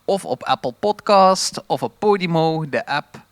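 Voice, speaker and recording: A man's voice: a little high, expressive, a little slow, no echo. Limburgish man in casual, dry-sounding podcast recording. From Limburg, Netherlands. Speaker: podcast co-host.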